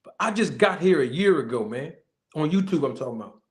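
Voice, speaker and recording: A man's voice: on the high side, expressive, quick pace. A man who manages boxers and hosts his own online show, talking emphatically in a casual, slang-heavy style with repeated, preacher-like emphasis. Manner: forceful, repetitive, preacher-like emphasis. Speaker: boxing manager and channel host.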